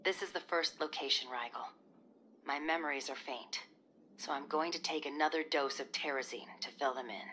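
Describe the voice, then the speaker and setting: An actress playing a young military operative in a video game, cool and determined, speaking in a clipped, serious way. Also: female voice, deep voice, moderate pace, bright, forceful tone, slight background noise. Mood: focused. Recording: game music behind the voice.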